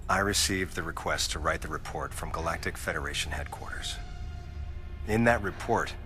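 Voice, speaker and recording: An actor playing a grave, calm military commander in a sci-fi game, speaking in a measured, formal, authoritative way. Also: male voice, low voice, over music, natural voice. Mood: grave, commanding. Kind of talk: dramatic video game cutscene dialogue.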